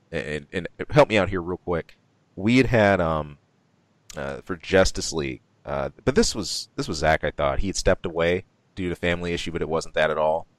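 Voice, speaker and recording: A man with a very deep voice runs through movie news on a podcast, rambling and restarting often. The sound is close and dry, with no room around it. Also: no room sound. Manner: rambling, many ums and restarts, laughs often. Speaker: podcast co-host. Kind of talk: casual podcast chat about movie news.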